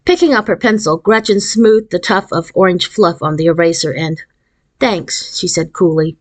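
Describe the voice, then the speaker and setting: A woman reading a children's novel aloud as a storyteller, in an expressive scripted narration style. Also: lowish voice, moderate pace.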